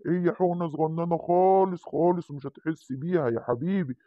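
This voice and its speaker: A man's voice: a little high, quick pace, dry recording, narrow, tinny audio, natural voice. A man doing a soothing dog doctor. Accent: Egyptian Arabic. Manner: soothing, cajoling. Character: kindly male dog doctor giving shots.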